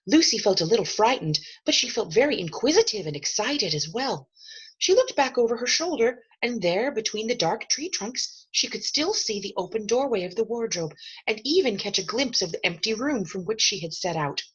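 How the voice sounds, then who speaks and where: A woman reading a children's novel aloud as expressive storybook narration, with a husky voice and measured, dramatic emphasis. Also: on the high side, dull audio.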